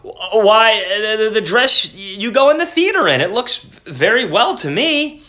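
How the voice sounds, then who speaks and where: A man's voice: high voice, sing-song, normal speed, sharp tone, pitched above the performer's own voice, exaggerated voice. A man voicing a timid, eager-to-please young clerk who stammers when flustered, in a dramatic reading.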